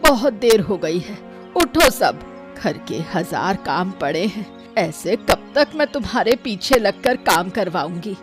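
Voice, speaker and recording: A woman playing a careworn old grandmother in an animated story, scolding and lamenting but loving. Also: medium pitch, slow pace, music in the background. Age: older. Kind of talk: Hindi animated story dialogue.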